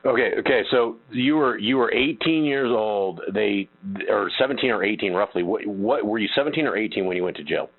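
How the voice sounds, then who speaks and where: Low-voiced male interviewer, phone-thin. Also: fairly quick, few pauses, breathy edge, no echo, phone-quality sound. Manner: probing, repeats himself while forming questions. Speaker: host.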